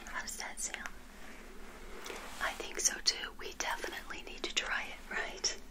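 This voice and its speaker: An older woman playing a friendly, naive witch in a gentle ASMR roleplay, whispering and softly repeating her phrases. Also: normal speed, close to an everyday voice. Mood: welcoming. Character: friendly, eager, naive lonely witch serving tea.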